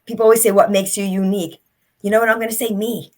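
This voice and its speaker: A woman's voice: medium pitch, steady tone, quick pace. A middle-aged woman, an author and speaker, telling her story as an interview guest in an earnest, reflective way.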